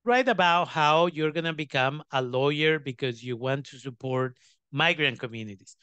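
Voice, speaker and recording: A man's voice: a little high, normal speed, bright, forceful tone, clean tone, dry recording, lo-fi sound. A man giving an instructional talk as an educator, speaking in an emphatic, direct way to students. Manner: emphatic, direct, checks in with "right" and "okay". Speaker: educator presenting scholarship advice.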